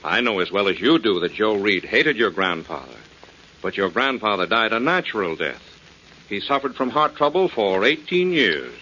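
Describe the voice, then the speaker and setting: An actor playing a reasoning, skeptical family doctor in a 1940s radio drama, with measured, firm delivery. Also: male voice, fairly low pitch, very animated, bright, forceful tone. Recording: dull, noisy 1940s radio recording.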